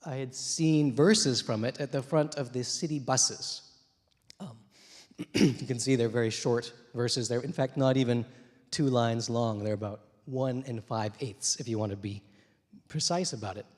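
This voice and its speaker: Slightly hoarse man telling a story on stage. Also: medium pitch, measured pace. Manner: reflective, gently humorous, many "uh" fillers. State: slightly hoarse from many interviews. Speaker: translator and poet. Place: theater stage, dark room. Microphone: handheld mic. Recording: long reverb of a theater hall.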